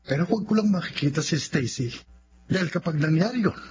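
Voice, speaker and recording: A man acting as a young Filipino fiancé in a radio drama, with a high-pitched voice. He is devoted, firm and easily indignant, heard through muffled, clearly noisy audio. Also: expressive, fairly quick, darkish tone, close to an everyday voice. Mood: respectful, resentful.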